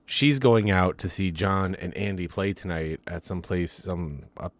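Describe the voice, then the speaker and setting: Male co-host, very low voice, blunt profane banter, dry recording. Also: unhurried, no echo. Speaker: podcast co-host. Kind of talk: casual movie chat.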